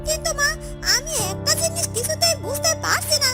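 A woman's voice: extremely high pitch, expressive, fairly quick, loud music behind the voice. A woman playing a frightened, pleading young woman in a fairy-tale cartoon, repeating her words anxiously. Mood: relieved, puzzled. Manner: breathless repetition of words, pleading.

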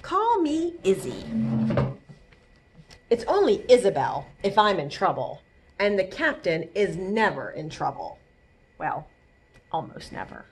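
A woman's voice: mid-range voice, big pitch swings, a little hiss or hum. A woman voicing a bold, bossy young girl who plays pirate captain in a storybook, theatrical and commanding. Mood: proud. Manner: theatrical, commanding, drawn-out words.

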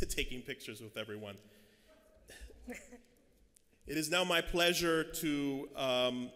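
Bright-voiced middle-aged man emceeing in a hall. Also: medium pitch. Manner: casual, halting, with um fillers. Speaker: event co-chair and emcee. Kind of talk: ceremony announcements at a podium. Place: large hall, indoors. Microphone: podium microphone. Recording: long hall reverb.

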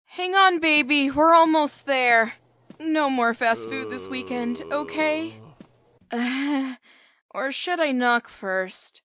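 A woman's voice: high-pitched, lively intonation, slow pace, a bit bright, heavily acted. A woman playing a sweet, fussy young woman. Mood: fussing.

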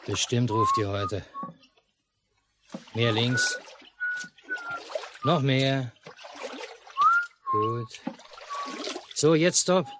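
Gruff, slow-spoken bossy father. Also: medium pitch, wildly swooping pitch, exaggerated voice. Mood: commanding. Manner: terse, curt commands. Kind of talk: 1950s German radio play acting. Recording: noisy mono 1950s radio recording.

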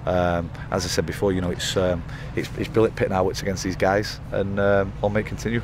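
A man in his thirties, a football manager giving a post-match interview outdoors by the pitch at night, talking in rambling runs full of fillers and restarts. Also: level tone, quick pace. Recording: outdoor interview with loud background noise.

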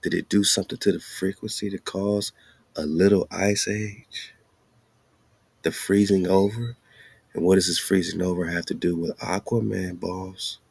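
A man's voice: low-pitched, steady tone, normal speed, vocal fry, darkish tone, slightly rough, dry recording. A man giving an informal, slangy commentary, asking rhetorical questions and repeating phrases for emphasis. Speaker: host. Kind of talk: informal commentary monologue over on-screen maps and documents.

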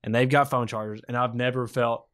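A man's voice: medium pitch, fairly even intonation, a little fast, fairly bright tone. A man in his twenties talking casually as a podcast co-host, debating in a dry, joking way on a close microphone. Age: 20s. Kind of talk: casual chat, friendly debate. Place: small room indoors. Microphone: podcast microphone on a stand, with headphones.

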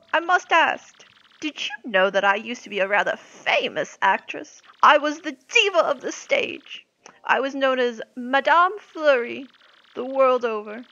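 A grandiose stage-diva spirit. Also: female voice, high-pitched, sharp tone, muffled recording, heavily acted. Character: grand theatrical female wind-spirit diva.

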